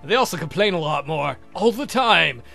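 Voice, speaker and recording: A man's voice: on the high side, lively intonation, moderate pace, gravelly, pushed and bright, soft background music, voice pushed down, exaggerated voice. A man voicing a courtly adult vampire count in a visual novel, earnest and doting, with formal, gallant speech. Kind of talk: voiced visual novel dialogue.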